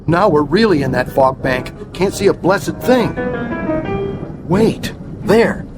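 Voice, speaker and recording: An actor playing a tense, wry airliner co-pilot with an urgent edge, in old-time radio drama style. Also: male voice, fairly high pitch, expressive, takes it slowly. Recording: dramatic music underneath.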